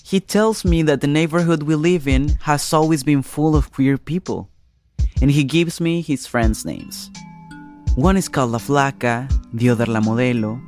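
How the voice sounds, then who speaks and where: A man's voice: medium pitch, a little mellow. A man narrating a personal story in the first person. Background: music.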